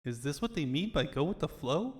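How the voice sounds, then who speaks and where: A man's voice: a little high, sing-song, brisk, breathy edge, no echo. A man voicing a chipper young male fox sidekick with quippy, innocent questions in a comic book fandub. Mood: worried, quipping.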